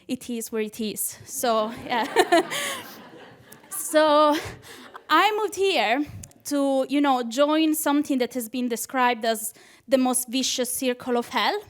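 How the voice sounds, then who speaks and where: A woman's voice: sits high, slow pace. A young woman with an Italian accent doing a nervous, chatty stand-up comedy set on a club stage, laughing at her own jokes. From Italy. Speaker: PhD student doing stand-up.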